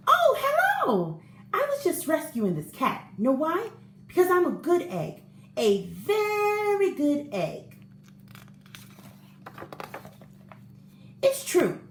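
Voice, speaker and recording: An earnest, eager-to-please storybook egg, acted out by a woman in a high, very animated voice. The delivery is theatrical and expressive, as if telling its own tale to children. The room adds a noticeable echo. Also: heavily acted. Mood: cheerful, proud. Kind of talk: children's picture book read-aloud, first-person storytelling. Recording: roomy echo with faint background noise.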